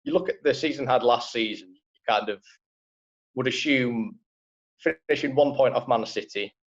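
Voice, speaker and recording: A man's voice: medium pitch, normal speed, muffled recording. A man with a Liverpool accent, a football writer talking football in a casual podcast chat, rambling with many fillers.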